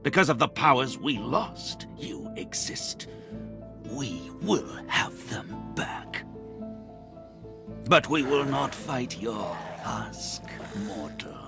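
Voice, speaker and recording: A man's voice: medium pitch, creaky, exaggerated voice. A man playing an ancient, betrayed otherworldly king in a fantasy game, speaking with grave menace and hissed whispers. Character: ancient betrayed Eternal king, vengeful otherworldly male being. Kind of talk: dramatic fantasy game acting. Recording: music behind the voice.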